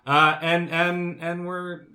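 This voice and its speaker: A man co-hosting a podcast in casual, joking chat, often halting and repeating his phrases. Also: high-pitched, flat delivery, bright, forceful tone. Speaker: podcast co-host. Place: kitchen.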